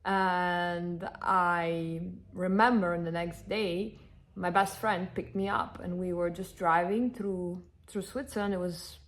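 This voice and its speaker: A woman in her thirties from Northern Italy, a native Italian speaker, chatting to camera in an animated, emphatic way, answering followers' questions. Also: fairly low pitch, takes it slowly. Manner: animated, emphatic, repeats herself. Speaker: content creator. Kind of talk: casual Q&A chat to camera.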